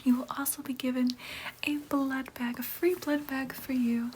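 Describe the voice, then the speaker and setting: A woman playing a gentle, reassuring vampire nurse in an ASMR roleplay, speaking in a soft, breathy whisper with careful, soothing explanations. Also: sits high, flat delivery, moderate pace, a little hiss or hum, natural voice. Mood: helpful, businesslike.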